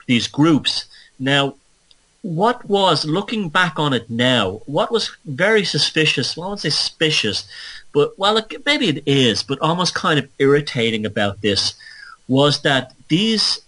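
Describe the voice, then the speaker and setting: A man's voice: mid-range voice, pushed and bright, rough recording. A man from Ireland with an Irish accent, hosting a podcast and talking through a digressive solo monologue, often correcting himself. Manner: musing, digressive, self-correcting. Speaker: podcast host.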